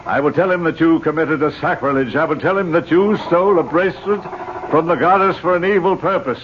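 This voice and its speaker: An actor in an old radio drama playing a stern, authoritative doctor with a measured, commanding manner. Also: male voice, a little high, natural voice. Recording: muffled old radio recording with hiss.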